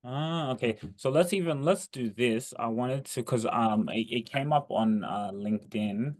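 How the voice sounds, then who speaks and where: A man's voice: medium pitch, a little slow, darkish tone. A man hosting a podcast, asking long, rambling questions with encouraging restarts. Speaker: podcast host. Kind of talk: casual podcast interview.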